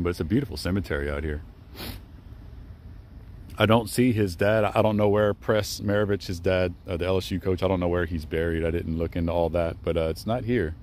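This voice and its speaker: Deep-voiced man rambling at a graveside. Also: slight background noise. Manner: rambling, with many fillers and self-corrections; respectful, hushed. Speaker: travel vlogger. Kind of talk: casual vlog monologue at a graveside. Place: outdoors in a cemetery.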